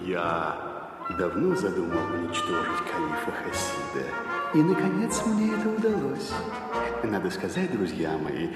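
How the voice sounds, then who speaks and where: A man's voice: low voice, wildly swooping pitch. An actor playing a proud, scheming, wicked sorcerer in a theatrical fairy-tale audio drama, drawing out his words. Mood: gloating. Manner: theatrical, drawn-out, gloating delivery with chuckles. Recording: echoey hall reverb over music.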